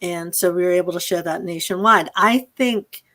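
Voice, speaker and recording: A woman's voice: lowish voice, normal speed, sharp tone. A woman moderating a live question-and-answer session over a video call, speaking as the host.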